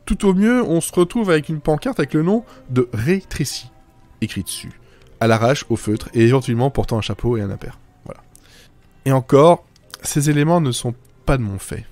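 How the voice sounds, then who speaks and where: A man's voice: mid-range voice, a little mellow. A man narrating a scripted humour podcast in a wry, self-deprecating manner.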